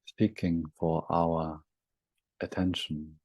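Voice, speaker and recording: A man leading a guided meditation, speaking gently in short, halting phrases with long pauses between them. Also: sits low, level tone, no echo.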